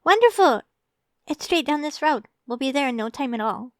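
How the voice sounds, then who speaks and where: Casting: actress as sweetly obsessive young female champion for ASMR roleplay; high voice, coaxing and teasing, close dry sound. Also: lively intonation, moderate pace, dry recording. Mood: eager, inviting. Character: tall, doting, teasing young woman Pokemon champion, secretly obsessive.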